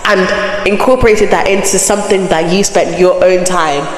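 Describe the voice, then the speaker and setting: A woman's voice: on the low side, steady tone, moderate pace. A woman hosting a crochet channel, chatting with a blocked, nasal voice from a cold, reacting animatedly and laughing in disbelief. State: has a cold, blocked nose. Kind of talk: chatty reading and reacting to online posts. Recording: echo effect with long reverb.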